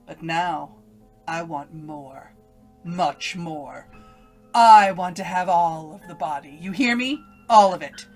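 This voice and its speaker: A woman's voice: fairly low pitch, takes it slowly, darkish tone, faint music behind. A woman playing an evil, domineering inner voice in an old-time radio melodrama, taunting and commanding with menace. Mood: fierce, demanding. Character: evil inner voice, a domineering female alter ego.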